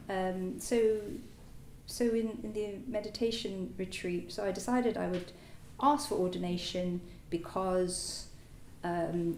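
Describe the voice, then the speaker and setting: Woman, native French speaker, halting reflective talk, distant mic in an echoey room with faint noise. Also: mid-range voice, a little mellow. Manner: hesitant, halting, many fillers and restarts. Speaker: new Buddhist order member. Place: meditation centre hall.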